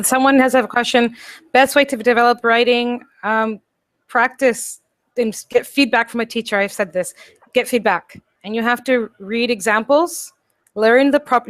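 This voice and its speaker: Portuguese-native woman teacher on a video call, bit high voice, upbeat and encouraging. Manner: lively, encouraging, coaching tone. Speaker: English teacher. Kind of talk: casual webinar advice.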